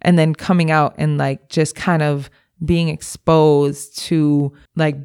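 A woman's voice: deep voice, gravelly. A woman from Milwaukee, an executive director, answering interview questions in a candid, emphatic, slangy way. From Milwaukee, USA.

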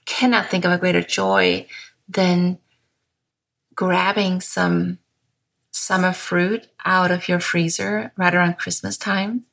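A woman in her thirties from southern Germany hosts a calm podcast monologue in English, with a German accent. Her voice sits a little low, with a gravelly fry at the ends of phrases. She speaks softly and unhurriedly, with small restarts. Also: takes it slowly, low-quality recording. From Bavaria, southern Germany. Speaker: podcast host.

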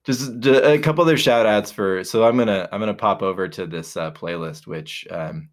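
A man co-hosting a podcast, chatting casually in a relaxed, rambling way with many fillers and restarts. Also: on the low side, moderate pace. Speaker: podcast co-host. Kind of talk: casual chat.

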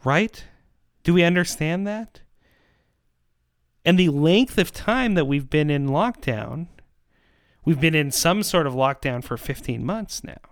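A man giving a persuasive monologue in an emphatic, rhetorical manner, with dramatic pauses and repetition. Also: on the high side, expressive, dry recording, studio quality. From Canada. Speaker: podcast host and pro-life activist.

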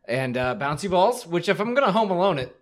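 Man, host, casual chat, high voice, very animated. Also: fairly quick. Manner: swearing, joking, excitable. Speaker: podcast host.

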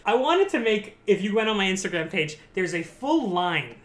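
Young guy, high shrill bright voice, laughs a lot, goofy self-mocking podcast chat. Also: normal speed. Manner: laughs often, self-mocking, animated storytelling. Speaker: podcast guest. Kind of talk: casual chat.

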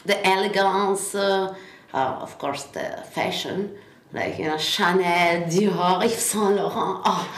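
A woman's voice: lowish voice, fairly even intonation, slow. A young woman from Italy, speaking English with an Italian accent as an interview guest, rambling through lists with many fillers. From Italy, Piedmont. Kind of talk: casual interview chat. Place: apartment in Bordeaux. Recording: roomy with faint noise.

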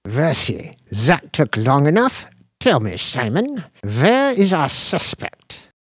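A man's voice: on the high side, huge pitch swings, takes it slowly, husky, no echo, studio quality, voice pushed up, heavily acted. A man voicing a petulant, scrawny male detective who squeals, whines and chants in a sing-song way. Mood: sneering.

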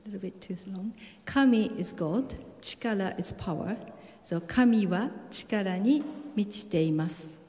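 A woman's voice: medium pitch, normal speed, darkish tone. Japanese-accented woman in reverberant hall. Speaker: volunteer introducing herself.

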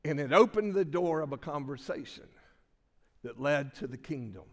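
An older male pastor preaching in a church sanctuary, his slightly high voice ringing with a long reverb. He tells stories in a folksy, conversational way, stumbling and restarting often. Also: animated, normal speed. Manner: folksy storytelling with many restarts. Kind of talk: sermon with personal story.

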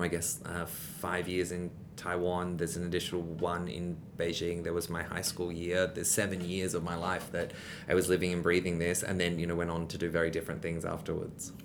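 A young adult man, a guest in a casual interview, talking in a rambling way with many restarts and fillers. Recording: rumbling background noise.

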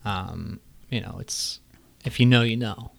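A man's voice: fairly low pitch, unhurried, creaky. A man in his thirties hosting a casual interview, chatty and enthusiastic, swearing now and then. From Illinois, Midwest US. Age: 30s. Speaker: podcast host.

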